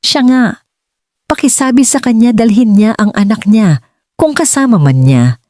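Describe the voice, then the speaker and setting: A woman's voice: fairly high pitch, expressive, normal speed, warm tone, dry recording, studio quality, pitched above the performer's own voice, close to an everyday voice. A woman voicing a cold, cruel male billionaire boss with a curt, imperious manner in an audiobook drama. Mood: commanding.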